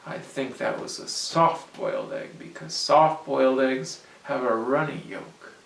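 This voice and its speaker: A storyteller reading a picture book aloud to children in an animated, explanatory way. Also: medium pitch, measured pace, gravelly, a little hiss or hum. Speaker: storyteller, host. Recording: echoey room, distant mic.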